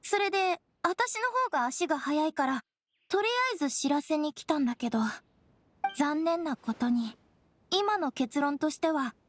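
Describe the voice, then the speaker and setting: A woman's voice: sits high, dry recording. A woman voicing an earnest, slightly shy teenage girl apprentice in an anime game, informal and a little hesitant.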